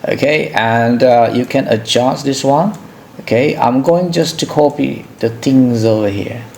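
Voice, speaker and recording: A man from Indonesia with an Indonesian accent narrates an informal software tutorial as host, in a hesitant, halting way, often repeating himself. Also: medium pitch, vocal fry, a little hiss or hum.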